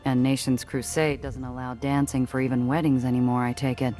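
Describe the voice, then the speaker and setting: A woman's voice: rumbling low, flat delivery, brisk, warm tone, faint music behind. A woman voicing a cool, observant heroine, narrating her own story in a scripted, wry way for a video game. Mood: wry, friendly. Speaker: narrator and protagonist.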